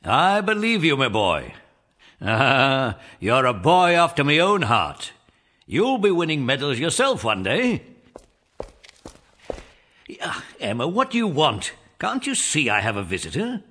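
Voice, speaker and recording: A man's voice: mid-range voice, huge pitch swings, measured pace, pushed and bright, heavily acted. Man playing a sad, weary old general. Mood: fond, grumbling. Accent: British.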